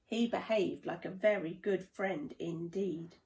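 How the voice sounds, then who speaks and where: A woman voicing a loyal, appreciative animal friend in a children's storybook, earnest and deliberate. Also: fairly low pitch, creaky, a little mellow.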